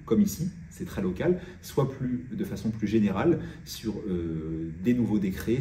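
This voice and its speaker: A man speaks in a low, mellow voice, explaining things informally as a real estate agent. The recording is roomy and echoing, as if he stands away from the microphone. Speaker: real estate agent presenting his agency's video. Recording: roomy echo, distant mic, faint noise.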